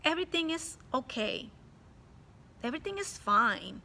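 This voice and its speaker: A woman's voice: high-pitched, sing-song, moderate pace, gravelly, bright, forceful tone, a little hiss or hum, voice pushed up, full character voice. A woman voicing a guarded schoolboy. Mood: insistent. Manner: insistent, reassuring. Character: schoolboy brushing off worry, guarded.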